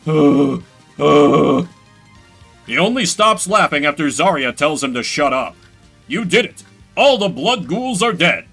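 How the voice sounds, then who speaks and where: A male streamer with a bright, lively voice that moves around a lot in pitch. He gives casual, slangy game commentary and reads on-screen text aloud expressively. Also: breathy edge.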